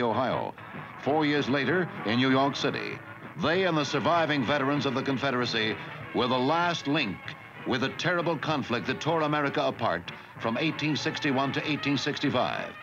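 A man's voice: medium pitch, fairly quick. A man reading scripted documentary newsreel narration. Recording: slightly dull sound under loud music. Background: loud music.

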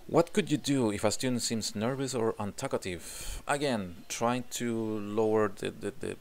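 A man's voice: lowish voice, moderate pace. A man in his forties from Chile, speaking English with a Chilean Spanish accent, answers interview questions at home into a desk mic and often repeats words while he searches for them. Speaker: job applicant, English teacher. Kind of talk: self-recorded interview answers. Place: home room with guitars on the wall. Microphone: desk condenser mic in frame.

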